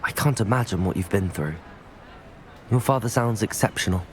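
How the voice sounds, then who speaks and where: A man's voice: fairly low pitch, animated, moderate pace, a little hiss or hum, lightly acted. An actor voicing a polite, curious young male student in a video game cutscene. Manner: polite, inquisitive.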